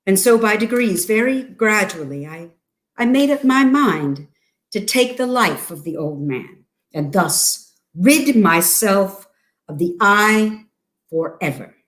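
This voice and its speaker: A woman giving a theatrical gothic horror reading as a cunning, gleefully mad murderer, with deliberate halting phrases and sudden emphasis. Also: mid-range voice, lively intonation, a bit bright. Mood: chilling resolve. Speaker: reader at a dramatic reading.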